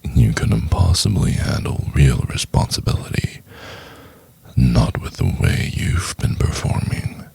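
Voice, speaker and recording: A man playing a cruel, domineering corporate executive in an intimate ASMR roleplay, condescending and drawn-out, with a gravelly, husky, half-whispered voice. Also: very deep voice, animated, a little mellow, dry recording, full character voice. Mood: disdainful, belittling.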